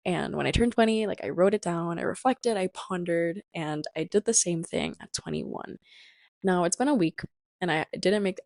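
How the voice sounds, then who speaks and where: A young woman in her early twenties talks casually and reflectively as a solo podcast host. Her voice sits slightly low and often drops into creak. She rambles conversationally, leaning on filler words, and the sound is close and dry. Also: darkish tone, no echo. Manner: chatty, rambling, sprinkled with "like" and "you know".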